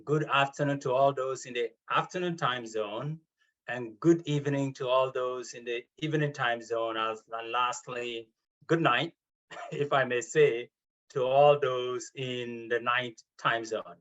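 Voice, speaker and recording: A man's voice: medium pitch, steady tone, measured pace, fairly bright tone, dull audio. A man chairing an award session over a video call, speaking formally and politely with frequent ums and uhs. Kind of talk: formal welcome and introduction.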